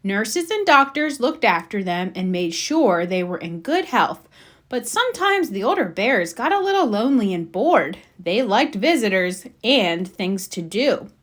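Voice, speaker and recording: A woman reading a children's storybook aloud, with expressive, emphatic narration for young listeners. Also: mid-range voice, a little slow. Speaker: storybook reader.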